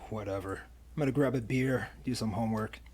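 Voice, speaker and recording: A man playing a reluctant, uneasy college sidekick in an audio drama, with a husky voice. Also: on the low side, a little fast, a little mellow, natural voice. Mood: fed up. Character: uneasy male college student, reluctant flunky.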